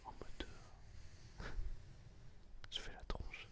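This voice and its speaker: A man whispering intimately to the listener in a casual ASMR style, with a playful, teasing manner. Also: extremely slow. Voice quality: breathy whisper. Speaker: ASMR video host.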